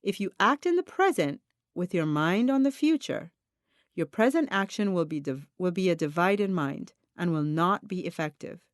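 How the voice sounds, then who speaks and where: Female audiobook narrator for self-help material; expressive, varied pitch, deliberate instructive read, dry studio-like sound. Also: no room sound. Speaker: audiobook reader.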